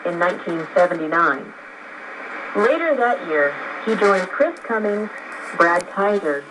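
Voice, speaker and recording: Female radio presenter, mid-range voice, scripted narration, clearly noisy shortwave recording. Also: medium pitch. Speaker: radio program host.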